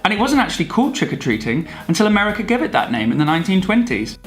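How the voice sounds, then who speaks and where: A man's voice: high voice, very animated, rapid-fire, faint music behind. A man co-presenting a scripted explainer with comic banter, in a jokey, theatrical manner. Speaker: video co-presenter.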